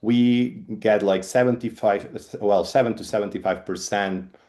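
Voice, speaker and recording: A man's voice: lowish voice, steady tone, unhurried, dark tone. Catalan-accented man giving a webinar talk. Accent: Spanish. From Spain, Catalonia. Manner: hesitant, with frequent ums and restarts. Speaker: guest speaker, evolutionary biologist. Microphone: video call.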